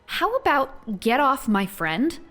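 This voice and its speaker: A determined young superheroine played by an actress in an audio drama, her pitch moving widely. She is earnest and wary, with a dry, teasing streak. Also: big pitch swings, slow, fairly bright tone, faint music behind, full character voice. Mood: bold. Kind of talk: scripted audio drama acting.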